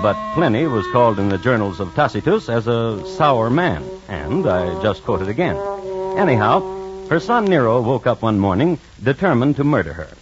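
A man narrating an old-time radio crime drama in a scripted, deliberate style with dry, wry asides. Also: mid-range voice. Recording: dull vintage radio recording. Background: music.

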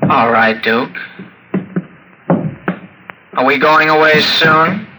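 A man's voice: a little high, extremely slow, music in the background, low-quality recording. An actor in an old-time radio drama playing a childlike, lonely, menacing male robot with a harsh, droning voice. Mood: obedient. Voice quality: harsh, mechanical drone.

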